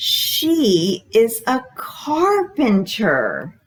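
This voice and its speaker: A woman hosting a storytime for young children, reading aloud in an exaggerated, encouraging way and pausing after questions to invite guesses. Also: fairly high pitch. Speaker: children's librarian, storytime host.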